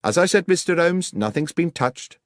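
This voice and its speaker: A man's voice: a little high, animated, normal speed, no echo, clean studio sound, pitched above the performer's own voice, natural voice. A man voicing a dutiful police inspector in an audiobook, reporting facts earnestly and deferentially. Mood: puzzled, deferential.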